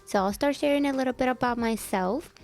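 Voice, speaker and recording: A woman's voice: sits high, a little fast, a little mellow, soft background music. Woman in her twenties, chatty podcast host. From El Salvador. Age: 20s. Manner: chatty, self-deprecating, laughs easily. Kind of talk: casual chat.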